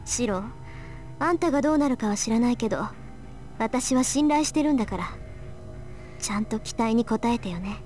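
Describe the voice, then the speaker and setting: A woman playing a proud, sharp-tongued teenage girl mage in a Japanese visual novel, blunt and self-assured. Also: on the high side, expressive, very fast, pauses often, close to an everyday voice. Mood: proud, flustered. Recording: game dialogue over background music.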